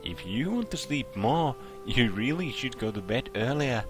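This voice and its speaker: A man voicing a polite, composed young woman with measured, gentle phrasing while reading a visual novel. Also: medium pitch, sing-song, music in the background, voice pushed up, close to an everyday voice. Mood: calm, gentle.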